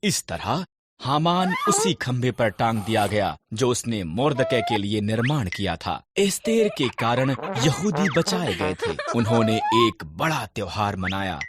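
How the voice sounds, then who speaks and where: A narrator telling scripted Bible stories for children in a deliberate storytelling delivery. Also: mid-range voice, big pitch swings, heavy background noise. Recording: background music under the narration. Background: music.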